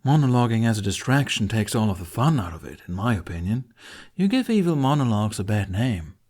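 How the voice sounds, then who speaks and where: A man's voice: lowish voice, big pitch swings, normal speed. A man voicing a mischievous male winter spirit who drawls and teases, in a read-aloud story. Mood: teasing. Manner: drawling, teasing.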